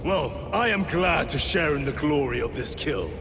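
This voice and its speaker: A dutiful, by-the-book super-soldier from a video game, speaking formally and solemnly in a slightly high male voice. Heavy music runs underneath. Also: expressive. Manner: formal, solemn, measured speech.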